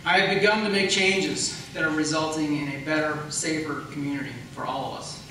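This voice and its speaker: A man's bright, fairly high voice rings out in a very reverberant room, picked up at a distance from the mic. He speaks as a candidate in a political debate, pressing his points in an emphatic way. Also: fairly even intonation, a little fast. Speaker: political candidate, incumbent county attorney. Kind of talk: campaign debate speech. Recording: echoey room, distant mic, faint noise.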